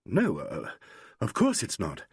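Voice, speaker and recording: A man's voice: deep voice, huge pitch swings, takes it slowly, husky, dry recording, clean studio sound, full character voice. A man voicing a poised but tense grown actress in a novel, speaking carefully under strain. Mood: flustered.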